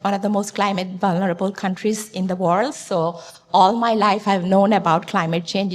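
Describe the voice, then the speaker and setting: A woman from Bangladesh speaking earnestly as a climate panelist, with small restarts. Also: on the low side. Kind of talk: conference panel talk. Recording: reverberant hall.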